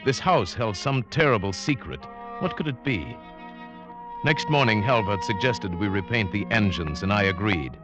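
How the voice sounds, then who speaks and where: A man's voice: on the low side, expressive, moderate pace, music in the background, lightly acted. An actor playing a solitary, resolute seafaring man, earnest and brooding in an old radio adventure drama. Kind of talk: 1940s-50s radio acting and first-person narration. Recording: muffled old radio recording.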